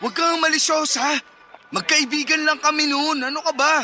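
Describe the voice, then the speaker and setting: High, piercing, pleading cheating boyfriend. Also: male voice, steady tone, moderate pace, bright, forceful tone, faint music behind, exaggerated voice. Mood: indignant. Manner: whining, pleading, accusatory. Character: manipulative womanizing young boyfriend, pleading and defensive. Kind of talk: melodramatic radio drama acting.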